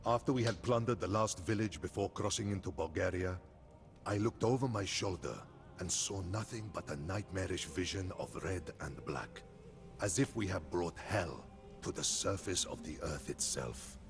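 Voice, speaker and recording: A man's voice: low-pitched, vocal fry. A man playing a grave, vengeful khan who solemnly narrates the story of his people in a video game. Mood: grim. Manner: solemn, ominous, deliberate. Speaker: cutscene narrator. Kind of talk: dramatic scripted storytelling narration. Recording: music behind.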